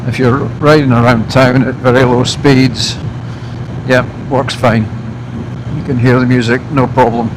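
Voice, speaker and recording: A Scottish man talks casually to viewers as he rides a motorbike, reviewing gear off the cuff. His delivery is slow and halting, broken into short phrases with pauses between them. His mid-pitched voice sits in clear wind and engine noise. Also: little pitch movement. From Scotland. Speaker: motorcycle vlogger. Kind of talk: casual unscripted product review while riding. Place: outdoors on a moving motorbike.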